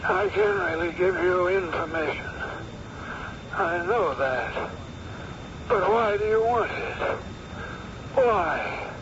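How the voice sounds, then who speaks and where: Haunted elderly professor, very high strained voice, dragging delivery; noisy vintage radio sound. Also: male voice, full character voice. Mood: pleading. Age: older. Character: tormented old male professor, frantic and haunted. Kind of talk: old-time radio drama acting. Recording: noisy, muffled old radio recording.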